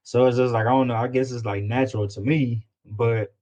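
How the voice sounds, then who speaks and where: A man with a Louisiana accent chatting casually as a guest, halting and often repeating his phrases. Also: mid-range voice, fairly even intonation, warm tone. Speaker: guest rapper. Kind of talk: casual chat.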